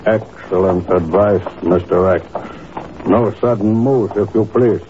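A man plays a coolly polite Indian gangster, low-voiced and very slow, giving orders with quiet menace. The sound is tinny old radio drama. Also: background noise, natural voice. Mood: cold menace. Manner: slow, commanding, icily courteous. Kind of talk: 1950s radio drama acting.

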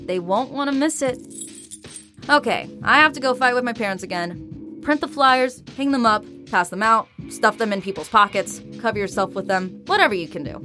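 A woman's voice: fairly high pitch, expressive, normal speed, pushed and bright. An actress playing a defiant, passionate young band singer, blunt and sweary, in a naturalistic audio drama with music behind her. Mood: rallying, determined. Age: young adult.

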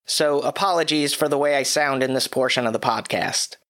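A man hosting his own podcast, reading a scripted movie review with dry, wry asides, his voice a little hoarse from a cough. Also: mid-range voice, fairly quick, fairly bright tone. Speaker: podcast host.

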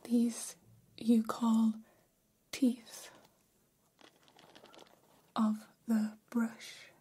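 Gentle, curious female alien played by a woman for ASMR roleplay. Breathy, mellow whisper, formal and halting, very level delivery. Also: a little high, completely flat delivery, heavily acted. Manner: slow, formal, halting, literal phrasing. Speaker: ASMR performer.

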